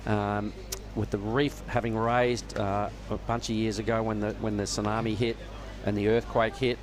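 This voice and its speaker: A man giving relaxed, knowledgeable live surf contest commentary from a judging tower. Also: lowish voice, moderate pace, a crowd in the background. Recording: noisy outdoor ambience with traffic.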